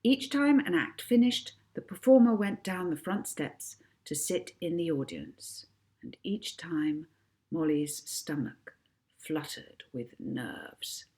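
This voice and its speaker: A woman reading a children's book aloud as an expressive narrator. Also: low voice, takes it slowly. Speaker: author reading own book.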